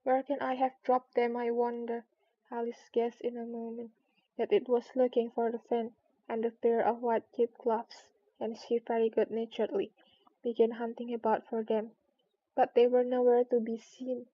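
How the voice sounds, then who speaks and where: High-voiced woman reading a storybook aloud. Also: completely flat delivery, normal speed, muffled recording. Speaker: storybook narrator. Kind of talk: read-aloud storybook narration.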